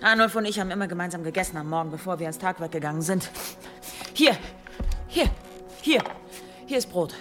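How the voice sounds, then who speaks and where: Spirited, defiant village wife. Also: female voice, fairly low pitch, animated, fairly quick, long pauses, a bit bright. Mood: nervous, stalling. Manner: urgent, emotional. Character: defiant village wife, proud and brave warrior. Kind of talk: audio drama acting. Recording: music under the voice.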